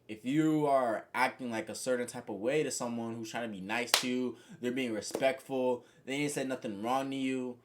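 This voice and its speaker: A young man talking casually and reflectively to his viewers, rambling with lots of "you know" and "like", in a voice he calls monotone. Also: mid-range voice. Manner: says he has a monotone voice. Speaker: vlogger. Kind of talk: casual reflective monologue to camera.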